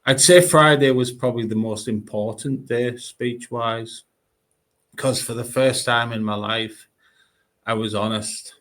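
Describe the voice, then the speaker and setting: Guy on a webinar, mid pitch, earnest, leaning into his words. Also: fairly even intonation, a little slow, fairly bright tone. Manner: earnest, emphatic. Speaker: webinar panelist. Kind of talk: personal testimony on a live webinar.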